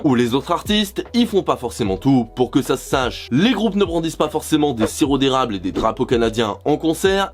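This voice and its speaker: A man from France giving sarcastic, scripted comedic commentary as a YouTuber, swearing casually. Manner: sarcastic, swears casually, animated swings in pitch. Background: jazz background music.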